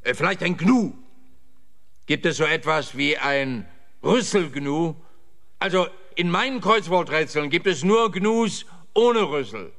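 A dim, pompous policeman in a radio comedy play. The voice is bright and forceful, pitched fairly high, blustering and rambling with indignation. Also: lively intonation, measured pace. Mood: rambling, puzzled. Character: blustering, slow-witted, rambling male policeman.

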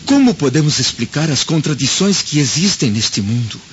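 A man playing a troubled, youngish Roman senator and anguished father in a radio drama, speaking gravely and dramatically. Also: on the high side, very animated, moderate pace. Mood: brooding, questioning. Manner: grave, dramatic, rhetorical delivery. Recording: dull, noisy lo-fi radio drama recording.

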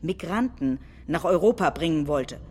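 A woman's voice: low-pitched, expressive, moderate pace, a little mellow, slight background noise. A woman reciting quoted political statements with emphatic phrasing, in the style of scripted documentary theatre. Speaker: stage reader of political quotations.

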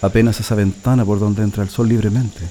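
A man's voice: fairly low pitch, fairly even intonation, fast talker, mellow, natural voice. An actor playing a proud, nostalgic political prisoner in a radio drama, telling his story reflectively with a Chilean accent. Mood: solemn, nostalgic. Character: male political prisoner, proud nostalgic communist militant, immigrant's son.

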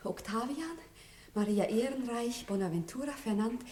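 A woman's voice: on the high side, animated, normal speed, warm tone, slight background noise, lightly acted. An actress playing a naive, proud young Viennese bride-to-be in a radio play, girlish and earnest. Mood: eager, coy. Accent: Viennese Austrian. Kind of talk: 1960s radio play acting. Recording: old roomy radio studio recording.

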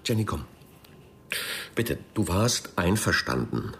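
A man's voice: low-pitched, very animated, slow pace, fairly bright tone, exaggerated voice. A man playing a defensive, self-justifying accused press spokesman in a radio crime drama, speaking hesitantly. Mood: pleading. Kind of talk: naturalistic German radio drama acting.

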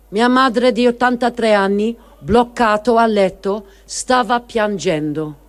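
A grieving, guilt-ridden adult child voiced by a performer reads out a personal testimony. The delivery is very unhurried and deliberate, with emphatic pauses, like a literary radio reading. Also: mid-range voice. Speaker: reader of testimony. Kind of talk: dramatic literary reading of a first-person diary.

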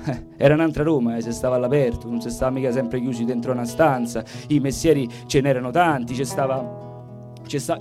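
A man's voice: a little high, a little mellow. A man with a Roman Italian accent performing a colloquial, folksy comic monologue live, full of little asides. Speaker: stage performer, storyteller and singer.

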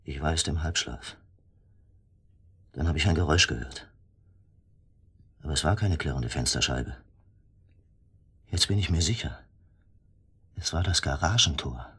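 Very husky, doomed captive. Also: male voice, extremely low pitch, animated, a little slow, pauses often, fairly bright tone, heavily acted. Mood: grimly reasoning. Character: doomed captive man, grimly lucid and bitter. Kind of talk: German radio drama acting.

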